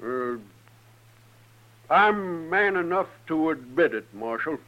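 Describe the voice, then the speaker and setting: A man's voice: fairly high pitch, expressive, slow pace, a little hiss or hum. A man playing a hot-tempered, blunt American cowboy in 1950s western radio drama. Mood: gruff. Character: gruff, hot-tempered cowboy devoted to his horse. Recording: dull vintage radio recording.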